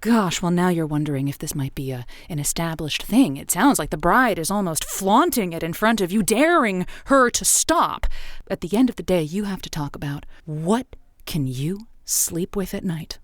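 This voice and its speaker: A woman's voice: fairly low pitch, lively intonation, moderate pace, no echo, natural voice. A woman playing a radio advice show host who hedges, stammers and waffles over what to tell her callers. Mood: waffling. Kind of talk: improvised comedy call-in show.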